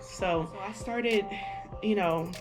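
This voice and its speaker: A woman's voice: medium pitch, takes it slowly, rough recording. A woman vlogger reviewing an app in casual talk to camera, chatty and hesitant, with lots of fillers and restarts. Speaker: language-learning vlogger. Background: music.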